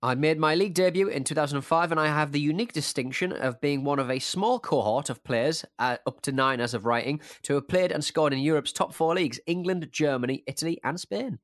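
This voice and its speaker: A man's voice: on the high side, brisk, hardly any pauses, no echo, clean studio sound. A man hosting a casual football podcast, playfully reading out quiz clues in a jokey manner. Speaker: podcast host and quizmaster.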